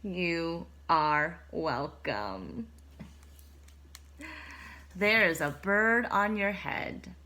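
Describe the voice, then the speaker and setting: A woman voicing a calm, cheerful female pig in a storybook, giving plain, matter-of-fact answers. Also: fairly low pitch, extremely slow, long pauses, pitched below the performer's own voice, exaggerated voice.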